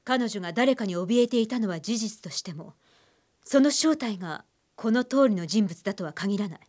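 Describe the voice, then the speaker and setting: An actress playing a composed, shrewd criminal profiler and psychiatrist in a radio drama, speaking in a cool, analytical way. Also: female voice, mid-range voice, lively intonation, normal speed, darkish tone, close to an everyday voice. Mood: cool, instructive.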